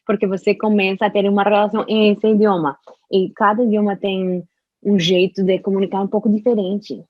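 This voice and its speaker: Woman speaking careful, slow learner's Portuguese. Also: medium pitch, flat delivery, no room sound, muffled recording. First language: Spanish. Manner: slow, careful speech with frequent restarts and laughs. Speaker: interview guest, Portuguese learner. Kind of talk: casual conversational interview.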